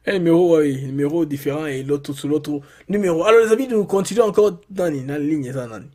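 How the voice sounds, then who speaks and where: A man's voice: on the high side, brisk, a little mellow. A Congolese man hosting a live phone-in in a casual, enthusiastic way, often repeating his phrases. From Democratic Republic of the Congo. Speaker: call-in show host.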